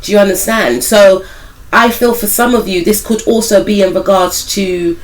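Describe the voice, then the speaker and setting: Croaky woman giving a casual tarot reading. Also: mid-range voice, normal speed, low-quality recording. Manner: rambling, hedged with "I feel like"; speaks directly to viewers as "some of you". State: croaky, recovering from a cold. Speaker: tarot reader and spiritual life coach.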